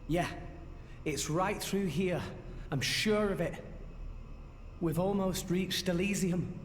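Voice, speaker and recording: A man voicing an earnest, hopeful teenage boy hero in a video game, with expressive delivery. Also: high voice, slow, a little hiss or hum. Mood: hopeful, uncertain. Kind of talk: video game cutscene acting. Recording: game music behind.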